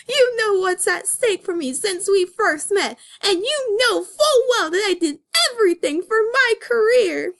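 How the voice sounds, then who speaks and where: Woman acting a high, anguished young female rabbit. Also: fairly bright tone, full character voice. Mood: tearful, defiant. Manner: melodramatic, pleading. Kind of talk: amateur dramatic comic reading.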